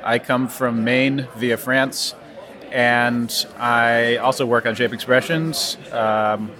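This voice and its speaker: A man with a middle-pitched voice introduces his clinical work, restarting a phrase to get it right. A crowded room murmurs around him. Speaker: clinical data researcher.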